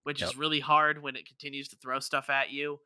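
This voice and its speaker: A man's voice: medium pitch, level tone, fairly quick, bright, forceful tone, clean tone, no room sound. A man co-hosting a gaming podcast, chatting casually and circling back over his words as he talks. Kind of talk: casual chat about video games.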